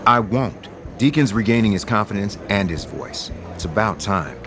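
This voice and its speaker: Proud, defiant lover played by a man, very deep voice, soap drama with clear music underneath. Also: animated, normal speed, close to an everyday voice. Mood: smugly defiant. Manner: assertive, sardonic, dramatic. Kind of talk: audio soap opera acting.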